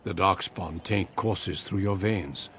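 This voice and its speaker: Low-voiced, grave warrior recruiter. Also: male voice, fairly bright tone, a little hiss or hum. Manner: measured, formal. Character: seasoned male warrior recruiter, grave, steady, courteous. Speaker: Grey Warden recruiter. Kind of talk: video game cutscene acting.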